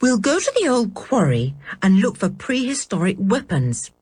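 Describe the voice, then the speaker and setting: A cheeky, teasing schoolboy with a bright, lively delivery, as a woman reading a storybook puts him on. He talks quickly and jokily, his voice slightly raised and a little breathy. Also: medium pitch, dry recording, voice pushed up.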